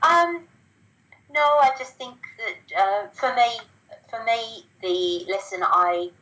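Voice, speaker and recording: A woman's voice: on the high side, slow, sharp tone, poor audio. An Australian woman talking casually as an interview guest, chatty, with frequent ums and restarts. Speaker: guest sharing business results.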